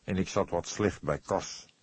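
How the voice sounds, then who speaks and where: Audiobook role: young male petty crook, offhand and terse, slightly husky performed by a man. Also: sits low, unhurried, darkish tone, no echo. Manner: terse, nonchalant. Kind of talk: audiobook character dialogue.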